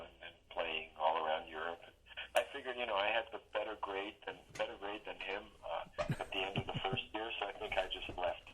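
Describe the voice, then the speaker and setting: A man's voice: low-pitched, sharp tone, muffled recording. A man, a guest drummer telling his story in a casual podcast interview, rambling and restarting sentences. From Serbia. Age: 40s. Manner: rambling, restarts sentences, chuckles at own stories.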